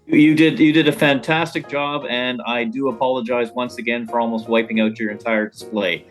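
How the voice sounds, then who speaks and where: A man's voice: mid-range voice, fairly even intonation, moderate pace, soft background music, rough recording. A man from Canada chatting casually as a guest, with a dry, joking manner. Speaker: radio roundtable guest. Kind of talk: casual chat.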